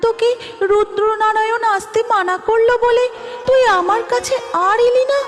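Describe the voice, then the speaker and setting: A woman's voice: very high voice, fairly even intonation, moderate pace, in a large hall, faint music behind, pitched above the performer's own voice. A woman voicing a hurt, motherly goddess who coaxes tenderly in a devotional cartoon. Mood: plaintive, tender. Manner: plaintive, motherly.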